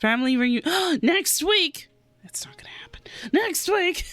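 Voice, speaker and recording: A woman's voice: extremely high pitch, lively intonation, a little slow, fairly bright tone. A young woman giving casual live reactions as a game streamer, gushing and giggly, often murmuring along. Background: game music.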